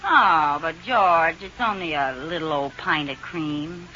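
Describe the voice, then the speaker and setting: An actress playing a scatterbrained, demanding but timid American housewife in 1940s radio comedy, theatrical and exasperated, on an old recording. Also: female voice, low-pitched, takes it slowly, sharp tone, highs cut off, slight background noise, full character voice. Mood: earnest.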